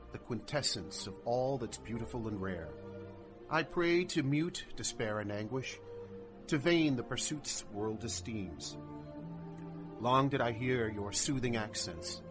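A young husband, played by a man recites a love poem in a slow, earnest way. Soft music runs under his voice in this audio drama. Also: medium pitch, natural voice.

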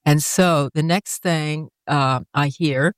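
A woman's voice: sits low, takes it slowly, clean tone, no echo. An older woman, an author, recounting her life in an interview, earnest and reflective.

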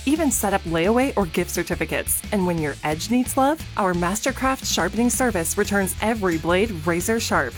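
A woman reading a radio commercial in a peppy, salesy way. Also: mid-range voice. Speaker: ad announcer. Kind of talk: upbeat radio ad read.

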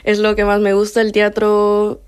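A woman's voice: medium pitch, completely flat delivery, normal speed, clear, resonant tone. 19 yo mexican girl getting interviewed, really clean voice, pretty level melody, chatty. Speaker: interview guest, youth program participant. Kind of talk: casual personal account in an interview.

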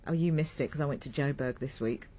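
Husky low-voiced woman host. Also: fast. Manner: rambling, warm and self-mocking, with laughs. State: voice lower than usual after a late night. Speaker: radio show host. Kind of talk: chatty live radio talk. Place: radio studio. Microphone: large studio mic with a fluffy cover.

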